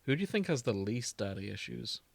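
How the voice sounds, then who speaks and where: A man with an average-pitched voice co-hosts a casual fan podcast. He speaks in a loose, conversational, jokey way. The sound is dry, with hardly any room on it. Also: big pitch swings, a little fast, gravelly, dry recording. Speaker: podcast co-host. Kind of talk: casual banter about fandom.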